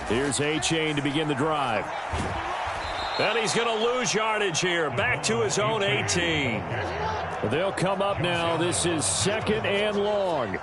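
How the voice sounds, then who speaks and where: A high-pitched male announcer calls football play by play for a video game. His delivery is brisk and excitable, built on short descriptive calls. Loud stadium noise runs behind him. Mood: measured. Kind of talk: sports play-by-play commentary.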